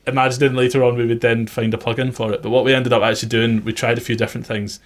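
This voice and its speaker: A man's voice: mid-range voice, little pitch movement, rapid-fire. A man working as a music producer, giving an informal, conversational tutorial on his production methods.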